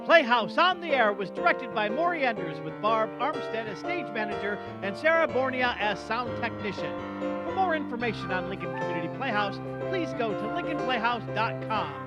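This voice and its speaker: A woman's voice: a little high, expressive, moderate pace, highs cut off, over loud music. A woman announcer reading scripted credits in the style of an old-time radio show.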